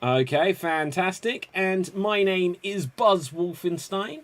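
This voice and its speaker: A man's voice: sits high, slow pace. Upbeat rambling male host. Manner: upbeat, rambling, self-correcting. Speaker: improv show host. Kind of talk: energetic game-show hosting. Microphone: video call.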